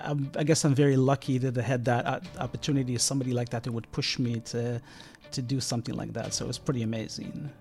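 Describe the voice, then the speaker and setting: A man who grew up in Israel, a sound engineer guest talking in a casual interview, pausing often with fillers and restarts. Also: medium pitch, flat delivery, creaky, darkish tone, faint music behind. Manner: halting, many fillers and restarts.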